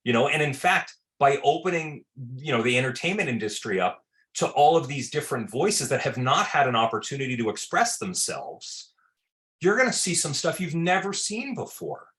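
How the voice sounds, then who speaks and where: A man's voice: a little high, lively intonation, normal speed. A man talking loosely in an interview about his film work, with many fillers and restarts. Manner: loose, filler-heavy, repeats words; says he has a very loud voice. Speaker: film director. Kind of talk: casual interview answers.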